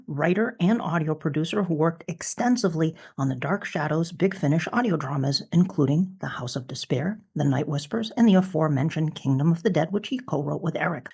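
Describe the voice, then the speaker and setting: A woman's voice: low-pitched, creaky, darkish tone, slightly rough. A woman hosting a fan podcast, chatting and making introductions in an enthusiastic manner. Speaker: podcast host.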